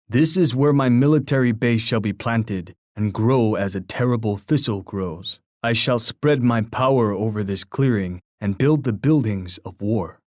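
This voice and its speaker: An actor playing a proud, poetic Native war chief in a video game, speaking in a formal, grandiose, oratorical manner. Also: male voice, medium pitch, no echo, clean studio sound, lightly acted. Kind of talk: scripted video game voice lines.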